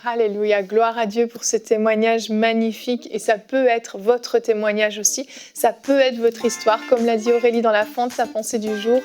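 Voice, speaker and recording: Affirming French-speaking host, a bit high. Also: female voice, little pitch movement, moderate pace, hardly any pauses, darkish tone, soft background music. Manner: affirming, prompting the guest. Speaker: show host.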